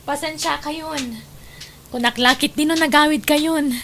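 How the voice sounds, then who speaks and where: A woman's voice: high-pitched, unhurried, a bit bright, slight background noise. A woman playing a composed, gracious young doctor in an Ilocano radio drama, speaking politely.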